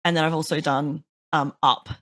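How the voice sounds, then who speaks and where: A woman hosting a podcast with a deeper voice, telling stories in a chatty way and swearing freely. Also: little pitch movement, moderate pace, a bit bright, no echo. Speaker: podcast host. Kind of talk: casual chat.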